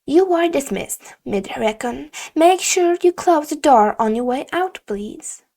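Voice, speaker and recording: A woman voicing a stern, condescending female middle school teacher in audiobook dialogue, speaking in a deliberate, emphatic, lecturing way. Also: high-pitched, animated, warm tone, pitched above the performer's own voice. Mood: firm, dismissive.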